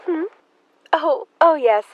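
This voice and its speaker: A woman's voice: sits high, slow pace, lo-fi sound, slight background noise. A woman playing a flustered, forgetful lady in a radio play, fretful and scatterbrained.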